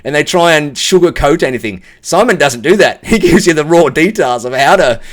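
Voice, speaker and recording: A man with an Australian accent talks through a client testimonial in a loose, chatty way. His voice sits a little higher than most men's. He sounds candid and unrehearsed, and he keeps restarting his sentences. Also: lively intonation, a little fast, fairly bright tone. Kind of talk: casual spoken testimonial.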